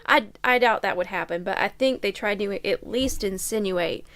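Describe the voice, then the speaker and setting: A woman's voice: mid-range voice, normal speed, fairly bright tone. A woman co-hosting a casual podcast chat about films and books, matter-of-fact as she counters her co-host.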